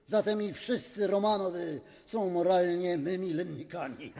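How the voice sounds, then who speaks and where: An actor playing a pompous, indignant aristocratic magnate, declaiming in a radio drama. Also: male voice, high voice, moderate pace, vocal fry, dark tone. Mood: haughty. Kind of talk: theatrical radio drama acting. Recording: long reverberant hall sound.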